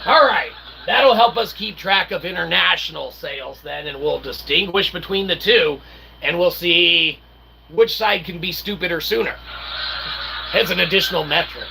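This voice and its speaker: Gravelly man, wordy and mock-formal. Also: sits high, moderate pace, very noisy. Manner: mock-formal wordy phrasing, frequent "okay?" tags. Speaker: channel host and self-published author. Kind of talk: rambling informal monologue with a sales pitch.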